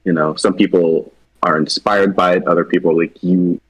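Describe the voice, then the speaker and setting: A man's voice: sits low, fairly even intonation, moderate pace, breathy edge, rough recording. A man chatting casually about characters in a loose, informal, tentative way. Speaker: animation project team member. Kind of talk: casual chat discussing characters.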